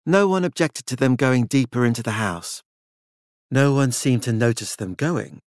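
A man narrates dramatic fiction in a scripted audiobook style. His pitch is medium and the recording is dry, with no room around it. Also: animated, moderate pace, dry recording.

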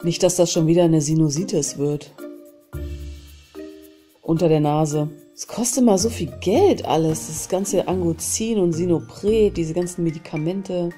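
A woman with a cold leads a guided tapping exercise in a low, mellow voice. She calls out each point, then says a short phrase to repeat. Faint music plays underneath. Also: unhurried. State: has a cold. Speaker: self-help channel host. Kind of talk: guided EFT tapping session.

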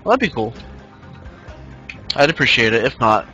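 A man's voice: medium pitch, big pitch swings, normal speed, bright, forceful tone, highs cut off, soft background music. A man in his twenties casually streaming a game, rambling and reading the chat aloud. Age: 20s. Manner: rambling, reads chat aloud, many fillers. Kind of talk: casual live-stream chat while gaming.

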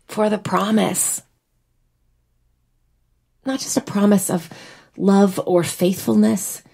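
An actress playing a wistful, teasing young bride in a naturalistic audio drama. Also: female voice, mid-range voice, steady tone, slow pace, vocal fry, mellow, slightly rough, heavily acted. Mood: tender, earnest. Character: wistful, teasing young bride whose wedding was cancelled.

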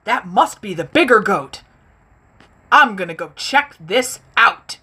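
A woman's voice: medium pitch, lively intonation, slow, bright, forceful tone, husky, heavily acted. A woman playing a roaring hungry troll. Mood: greedy. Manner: roaring, blustering threats. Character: hungry boastful male troll guarding a bridge.

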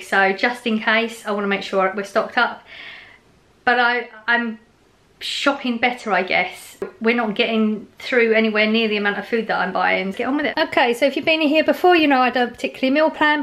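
A woman's voice: on the high side, sharp tone. A woman in her forties chatting casually at home in her kitchen, rambling cheerfully about the bargains she bought. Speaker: vlogger. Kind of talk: casual grocery haul chat.

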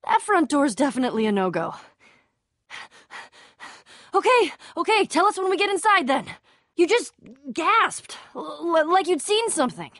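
Feisty, sarcastic young female game hero, bright and high, casual teasing delivery, dry close sound. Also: big pitch swings, normal speed, pauses often, dry recording, heavily acted. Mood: urgent, excited. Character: Petra, feisty, sarcastic, brave young woman adventurer. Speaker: video game voice actor. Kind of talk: animated game dialogue.

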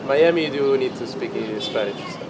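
A man, giving short, relaxed answers on a city sidewalk. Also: mid-range voice, brisk, background noise. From Italy. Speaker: interviewee.

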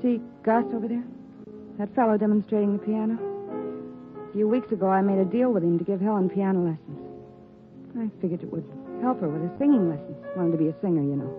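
A woman's voice: mid-range voice, fast, lightly acted. An actress playing a hesitant, wary female piano store clerk in a 1940s radio drama. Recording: tinny 1940s radio with music.

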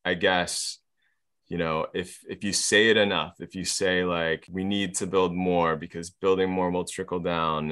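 Low-voiced man, rambling and sardonic. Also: a bit bright. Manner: rambling, many fillers, sardonic. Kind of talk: casual conversation.

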